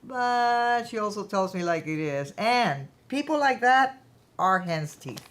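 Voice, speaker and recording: A woman's voice: mid-range voice, lively intonation, slow pace, fairly bright tone. A woman in a staged play reading playing a gracious, shrewd company founder. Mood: confident, fond. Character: self-assured businesswoman, company founder, gracious but shrewd.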